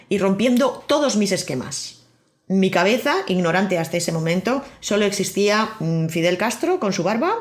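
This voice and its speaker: Spanish woman host, scripted podcast monologue, dry humor, mid-pitch voice. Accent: Spain Spanish. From Spain. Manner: wry, personal storytelling. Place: living in Miami.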